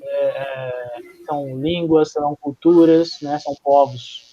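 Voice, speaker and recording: A man's voice: fairly high pitch, steady tone, slow, warm tone. A young adult man from Brazil with a Brazilian Portuguese accent, giving a casual classroom history lecture full of fillers and restarts. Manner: chatty, informal, frequent fillers and restarts. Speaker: history teacher. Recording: dull, lo-fi.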